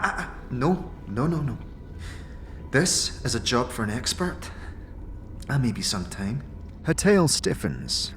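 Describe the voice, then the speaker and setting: A man narrating a scripted fantasy tale in a deliberate, theatrical storytelling manner. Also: fairly low pitch. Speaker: narrator and game master. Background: music.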